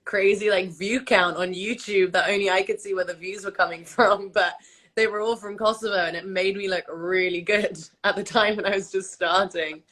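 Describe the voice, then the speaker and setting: A woman's voice: mid-range voice, steady tone, normal speed, fairly bright tone. A young woman from England whose first language is Albanian, chatting casually on a phone livestream, full of fillers and restarts. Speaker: host of a livestream chat. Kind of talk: casual chat. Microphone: phone on a video livestream.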